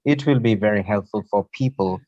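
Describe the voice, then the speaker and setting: A man's voice: lowish voice, a little fast, warm tone, no room sound. A man with an Indian accent hosting a talk show over an online call, asking questions in polite, formal wording. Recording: muffled, lo-fi internet call.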